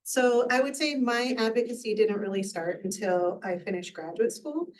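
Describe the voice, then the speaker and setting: A woman's voice: fairly high pitch, moderate pace, far from the mic. A woman in her thirties, a researcher on a panel, speaking candidly with casual fillers. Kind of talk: panel discussion.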